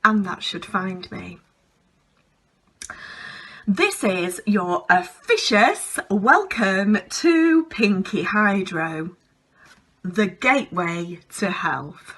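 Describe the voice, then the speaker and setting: A woman playing a pompous, self-important female health spa manager in a comic monologue, speaking slowly and deliberately. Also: medium pitch, sing-song, fairly bright tone, breathy edge, full character voice. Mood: officious, chirpy. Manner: pompous, slow and deliberate, malapropism-prone.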